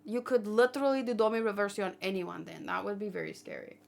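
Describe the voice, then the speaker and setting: A woman's voice: medium pitch, lively intonation, quick pace, creaky, slight background noise. A woman hosting a casual livestream discussion, reasoning aloud and giving her opinions in a conversational way. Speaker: livestream host. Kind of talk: casual chat, manga discussion and commentary.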